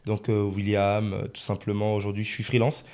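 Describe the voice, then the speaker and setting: A man's voice: on the low side, monotone, fairly quick, darkish tone. A man of twenty-one hosting a casual podcast in a studio, rephrasing his points for emphasis. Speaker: podcast host, freelance video editor.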